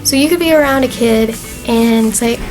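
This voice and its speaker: A young woman in her twenties with a slightly hoarse, fading voice, talking to camera in a chatty, earnest way with plenty of fillers. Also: fairly high pitch, moderate pace, a little mellow, soft background music. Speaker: vlogger. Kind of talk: casual talk to camera.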